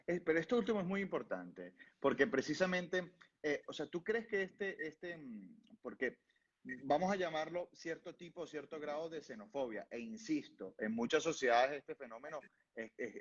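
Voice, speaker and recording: A man's voice: medium pitch, dull audio. A man hosting an online interview, asking long winding questions full of fillers and restarts. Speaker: host.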